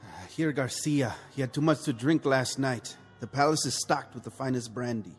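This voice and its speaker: An actor playing a conscience-stricken revolutionary officer, sardonic and brooding, in a mid-century television drama. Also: male voice, medium pitch, normal speed, close to an everyday voice. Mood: bitter, sardonic. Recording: old TV soundtrack, reverberant, music behind.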